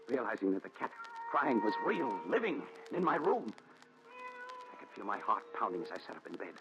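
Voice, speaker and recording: An actor playing a haunted, desperate man confessing his story, with intense, dramatic pauses in 1940s radio melodrama style. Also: on the low side. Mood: haunted, fearful. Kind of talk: 1940s radio thriller acting. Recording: dull noisy 1940s radio recording.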